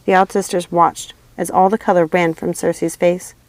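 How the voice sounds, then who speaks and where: A woman's voice: fairly low pitch, flat delivery, moderate pace, no echo, rough recording. A woman narrating a fantasy storybook as an audiobook reader.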